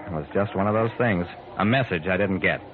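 A man's voice: sits low, a little fast, phone-quality sound, close to an everyday voice. A man acting as an earnest, kindly amateur sleuth who narrates his own story in an old radio drama. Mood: measured, intrigued. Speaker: narrator and lead actor. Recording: noisy, band-limited old radio broadcast.

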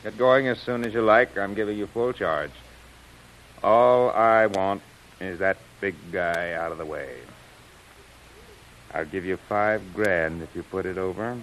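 A man's voice: sits low, a little slow, fairly bright tone. An actor playing a calculating, commanding male crime boss in a 1930s radio serial style. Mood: approving, commanding. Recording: hissy, noisy old radio recording.

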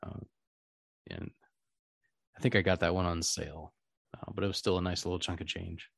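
A man's voice: deep voice, fairly even intonation, normal speed, gravelly, no echo, clean studio sound. A middle-aged man hosting a podcast, chatting casually in a rambling, hesitant way. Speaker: podcast host. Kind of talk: casual chat.

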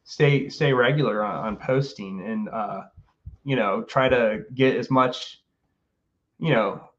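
A man's voice: mid-range voice, slow pace, lo-fi sound. A man of about forty, a guest artist chatting casually over a video call, rambling with lots of fillers and restarts. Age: 40s. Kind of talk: casual interview chat.

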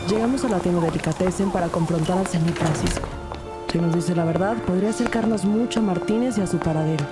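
A woman playing a composed, reflective police detective who narrates a crime audio drama in a measured, dramatic way. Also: on the low side, natural voice. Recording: music bed under the voice. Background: dramatic music.

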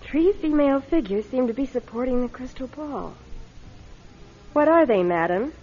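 A woman's voice: sits high, lively intonation, moderate pace. An actress playing a determined, manipulative young woman in a classic radio drama, with an intense, insistent delivery. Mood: measured, intent. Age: young adult. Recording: muffled radio recording with noticeable noise.

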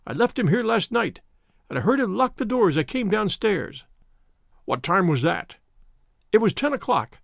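A cowering little man cries out in a sharp, querulous voice that leaps high and swoops wildly, as a man puts him on. Fear makes every word a plea. The sound is dry and close, with a gravelly edge. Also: sing-song, normal speed, long pauses, dry recording, exaggerated voice. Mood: frantic pleading. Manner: shrill, pleading, near hysterical.

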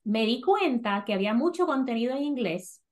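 A woman with a Puerto Rican Spanish accent hosting a casual podcast chat, lively and quick to laugh, slipping English words into her Spanish. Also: fairly high pitch. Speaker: podcast host.

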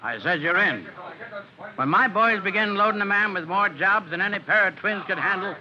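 Gruff American fire captain, muffled old radio. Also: male voice, high voice, expressive, normal speed, a crowd in the background. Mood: brisk, commanding. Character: gruff, fatherly fire captain of a rescue company. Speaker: actor in a radio drama. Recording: muffled, noisy vintage radio recording.

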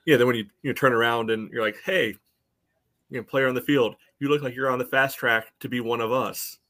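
A man in a casual sports discussion as a podcast co-host, speaking haltingly and often saying "you know". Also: mid-range voice, steady tone, pushed and bright, no echo.

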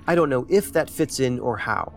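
A man's voice: medium pitch, expressive, moderate pace, gravelly. A man playing a dry-witted, self-assured federal agent in an audio drama, speaking in a terse, matter-of-fact way. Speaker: federal investigator. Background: faint music.

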